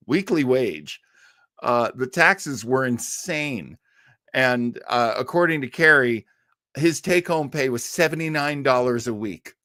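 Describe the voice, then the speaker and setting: An American man hosting a listening party with casual live commentary, chatty and halting as he rambles. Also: medium pitch, a bit bright, dry recording, clean studio sound. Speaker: host of an old-radio listening party.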